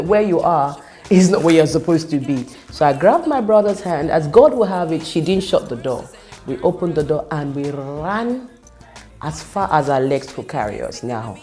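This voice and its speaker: A woman whose first language is Yoruba, a gospel singer telling a personal testimony in an earnest, reflective way, pausing and restarting as she talks. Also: low-pitched, dark tone.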